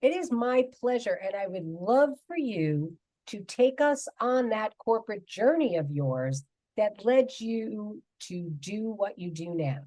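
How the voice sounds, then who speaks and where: A woman's voice: medium pitch, big pitch swings, measured pace, warm tone, no room sound, poor audio. A woman in her fifties hosts a radio talk show with emphatic enthusiasm. Her voice is recorded close and dry, with no room sound around it. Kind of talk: radio interview hosting.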